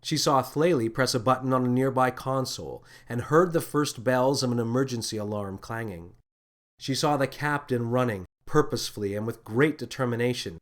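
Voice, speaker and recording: Male storyteller, mid-pitched, dramatic narration. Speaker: author and narrator. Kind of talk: dramatic scripted audiobook narration.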